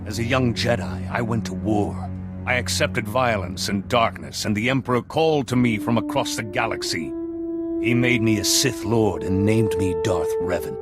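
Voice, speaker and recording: An actor playing a solemn, zealous and weary fallen Jedi in a video game, speaking gravely and deliberately. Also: male voice, lowish voice, lightly acted. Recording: loud music under the voice.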